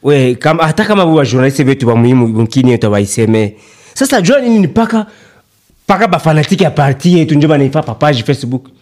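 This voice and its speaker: An actor playing an animated, talkative man who keeps urging his friend, in a radio play with a Congolese accent. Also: fairly high pitch, fast, lightly acted. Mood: cautioning. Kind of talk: radio play dialogue in colloquial register.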